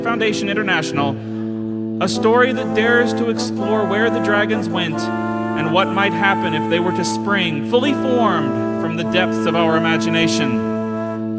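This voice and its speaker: Loud guitar music runs under a man playing a portentous radio theatre announcer. His high voice rings in a hall as he delivers a scripted fantasy introduction. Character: dramatic announcer opening a fantasy radio play.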